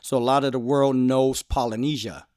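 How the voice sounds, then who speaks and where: A man's voice: medium pitch, fairly even intonation, moderate pace, a little mellow, smooth, dry recording, clean studio sound. A man who founded a car club, talking earnestly in a casual interview and often repeating his phrases. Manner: earnest, repeats phrases, says "you know what I mean".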